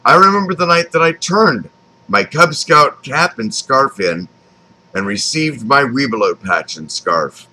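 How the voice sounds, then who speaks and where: A man in his fifties from Texas with an American accent reads his own autobiography aloud, phrasing it deliberately with frequent pauses. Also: mid-range voice, bright, forceful tone, rough recording. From Texas, United States. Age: 50s. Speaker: author reading his own memoir. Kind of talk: scripted autobiographical narration, read aloud.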